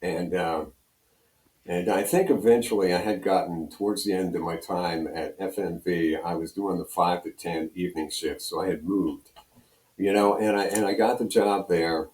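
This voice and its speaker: Older man, American accent, low-pitched mellow voice, rambling casual storyteller, echoey room. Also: steady tone, normal speed. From United States, Pennsylvania. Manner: rambling, anecdotal. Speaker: radio program director and on-air personality, interview guest. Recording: roomy, echoing room sound.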